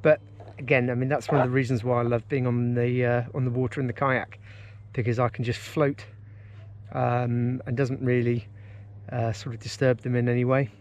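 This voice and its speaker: A man's voice: medium pitch, normal speed. A man in his forties on a river in a kayak, talking casually and with enthusiasm to a camera mounted on the boat, with plenty of ums and uhs. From England. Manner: enthusiastic, relaxed, with many fillers. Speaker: outdoors vlogger. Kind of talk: casual vlog narration. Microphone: action camera mounted on the kayak.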